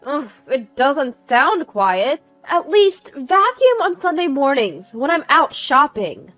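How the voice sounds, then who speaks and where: A woman voicing an aggressive, nagging housewife neighbor in her forties, loud, demanding and scolding, in a narrated story. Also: high-pitched, sing-song, slow, dry recording, faint music behind, pitched above the performer's own voice, exaggerated voice. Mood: disgusted demanding.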